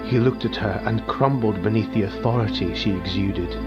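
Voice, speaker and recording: A man narrating a dark fantasy audiobook in a slow, hushed, grave manner. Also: on the low side. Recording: muffled with faint music.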